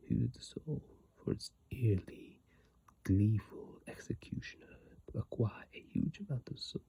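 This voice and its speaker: An ASMR creator whispering breathily and softly into a phone mic, reading aloud with unhurried, hesitant casual asides. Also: mid-range voice, vocal fry, husky, dry recording. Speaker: ASMR video creator reading game descriptions. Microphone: iPhone microphone, close up.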